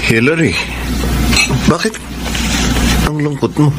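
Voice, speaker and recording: An actor with a Filipino accent playing an earnest, sentimental, devoted rich college boyfriend in a radio romance drama. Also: male voice, on the high side, slow pace, over loud music, low-quality recording. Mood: concerned. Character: earnest, devoted rich young college boyfriend, narrating his love story.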